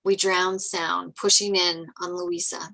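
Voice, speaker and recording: A woman's voice: fairly low pitch, level tone, measured pace, vocal fry, sharp tone, rough recording. A woman reading stage directions as scripted narration at a table read.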